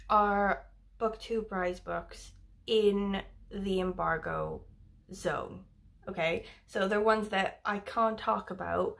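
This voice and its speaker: A woman's voice: medium pitch, vocal fry, fairly bright tone. A young woman from Wales chatting casually about books, rambling with lots of fillers and the odd swear word. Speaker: book vlogger. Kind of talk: casual book review chat.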